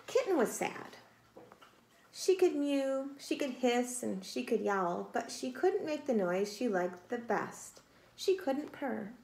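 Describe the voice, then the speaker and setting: Woman, forties, expressive children's read-aloud, unhurried, echoey home recording, faint noise. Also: on the high side, gravelly. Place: small room at home, indoors. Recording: echoey room, light background noise.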